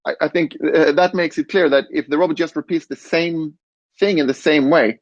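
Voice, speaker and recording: A man on a conference panel explaining design, relaxed, with fillers and restarts. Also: a little high, moderate pace, no room sound, dull audio. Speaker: robot interaction designer.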